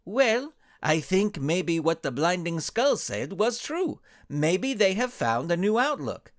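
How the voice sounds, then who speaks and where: Man voicing an earnest superhero, high and deliberate. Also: very animated, no echo, clean studio sound, exaggerated voice. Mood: reasoning. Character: earnest male superhero, measured questioner of the team.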